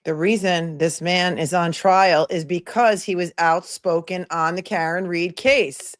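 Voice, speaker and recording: A woman's voice: lowish voice, fairly even intonation, measured pace. A woman hosting a live stream, commenting on a court hearing with sarcastic, indignant remarks and thanking viewers. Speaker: livestream host and commentator.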